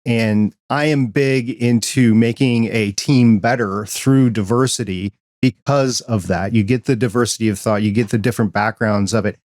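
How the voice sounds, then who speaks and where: A man from the American Midwest hosting a podcast, speaking in an earnest, measured way. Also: medium pitch, darkish tone, no echo, clean studio sound. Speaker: podcast host. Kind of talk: casual interview chat.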